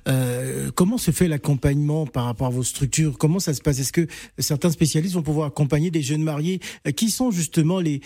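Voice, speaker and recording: A man hosting a radio interview, asking formal, measured questions with some stammered restarts. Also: on the high side, mellow, no room sound. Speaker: radio host. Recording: dry radio studio.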